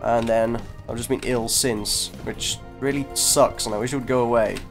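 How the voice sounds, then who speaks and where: A man with a congested, cold-stuffed voice rambles over his gameplay at an ordinary middle pitch. He talks casually and pokes fun at himself, often trailing off or restarting his sentences. Faint game music plays quietly behind his desk microphone. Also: fairly even intonation, normal speed, creaky. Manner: chatty, self-deprecating, breaks off mid-sentence. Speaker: gaming video host.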